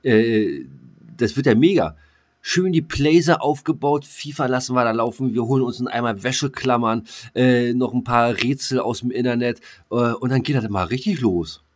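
A man's voice: medium pitch, normal speed. A man co-hosting a podcast, chatting casually in slangy, colloquial speech with a teasing manner. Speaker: podcast co-host. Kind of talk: casual chat.

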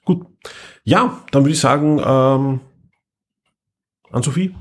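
A man with a mid-pitched, husky voice hosts a podcast. He chats casually and reviews with emphatic enthusiasm. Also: slow. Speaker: podcast host. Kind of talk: casual chat reviewing audio dramas.

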